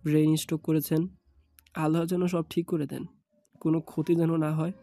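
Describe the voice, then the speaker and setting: A man's voice: fairly high pitch, flat delivery, a little fast, dark tone, faint music behind, close to an everyday voice. A man voicing a grieving young man in an audio story, speaking in a subdued, earnest way.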